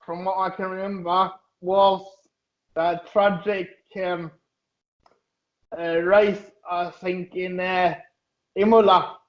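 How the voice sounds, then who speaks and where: Hesitant man in his thirties, high voice. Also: level tone. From Germany. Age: 30s. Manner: halting, hesitant, many fillers and restarts. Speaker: interview guest. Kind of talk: casual interview. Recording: echoey, dull lo-fi audio.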